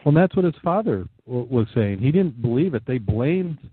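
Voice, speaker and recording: A man's voice: mid-range voice, big pitch swings, moderate pace, husky, dry recording. A man co-hosting a casual talk show, easygoing and a little hesitant as he reads news items aloud. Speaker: co-host reading news items. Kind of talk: casual discussion and reading articles aloud. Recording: dry, tinny phone-like sound.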